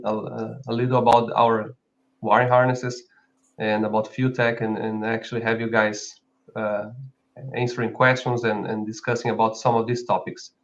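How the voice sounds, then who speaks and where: A man with a Brazilian accent speaks at a middle pitch. He presents as a founder in an informal live product talk. The sound is a little dull and lo-fi. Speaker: company founder and co-host.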